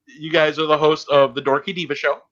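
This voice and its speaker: Male podcast host, bright and fairly high voice, halting, casual interviewer. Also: little pitch movement, highs cut off.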